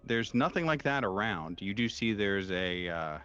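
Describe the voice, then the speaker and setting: A low-voiced man acts as game master for a tabletop horror session. He describes scenes off the cuff in halting, searching phrases, in a fairly unprocessed voice. Music plays clearly behind him. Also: animated, fairly bright tone, highs cut off. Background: background music.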